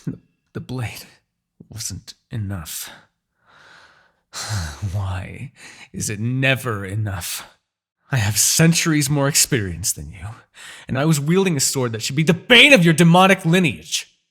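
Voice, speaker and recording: Demon king, played by a man, centuries old, for fantasy audio drama. Raspy, widely swinging delivery; proud, bitter, sardonic menace. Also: on the low side, a bit bright, exaggerated voice. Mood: bitter disbelief. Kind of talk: fantasy ASMR audio roleplay acting.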